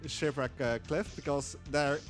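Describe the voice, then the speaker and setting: A man giving casual live speedrun commentary, explaining haltingly with dry humour. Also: a little high, fairly even intonation, faint music behind. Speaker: speedrunner at a gaming marathon.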